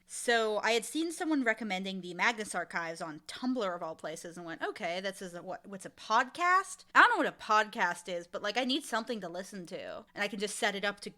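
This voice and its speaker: Woman, twenties, bright forward voice at medium pitch, candid and animated interview guest. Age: 20s. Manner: animated, candid, self-deprecating storytelling. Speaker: audio drama showrunner, interview guest. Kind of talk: casual interview chat.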